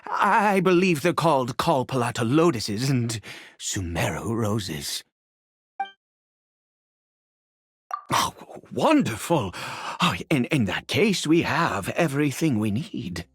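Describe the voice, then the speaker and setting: A man voicing a weary, centuries-old cursed nobleman and father in a video game, speaking formally and haltingly, with grief. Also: medium pitch, very animated. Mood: grateful, hesitant. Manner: halting, hesitant, sighing, formal wording. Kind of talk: dramatic video game voice acting. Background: background music.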